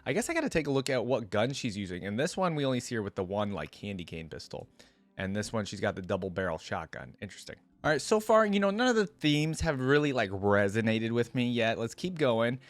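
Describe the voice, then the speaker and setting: A man hosting a reaction video, chatting informally and slangily as he thinks out loud. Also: mid-range voice, lively intonation, fairly quick, no room sound. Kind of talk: casual reaction commentary.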